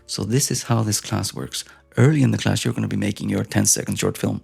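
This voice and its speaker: A man from Iceland teaching an online filmmaking class in a relaxed, gently encouraging way, with some fillers when he talks off the cuff. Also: on the low side, a little fast, vocal fry, breathy edge, no echo, faint music behind. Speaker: film teacher. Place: outdoors in nature. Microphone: phone recording nearby.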